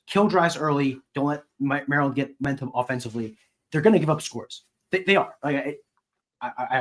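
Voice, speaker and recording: An American man co-hosting a podcast, speaking in a casual sports-analysis style. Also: fairly high pitch.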